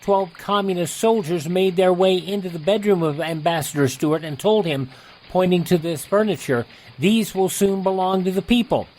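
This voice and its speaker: A man's voice: high-pitched, moderate pace, a little mellow, poor audio. A man hosting a radio show, reading old headlines as a scripted announcer. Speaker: radio host and news reader.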